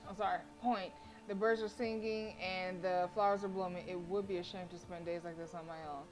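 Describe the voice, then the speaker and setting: A woman game streamer reading visual novel narration aloud, expressive, with casual blunt reactions. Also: lowish voice, a little fast, music in the background, low-quality recording.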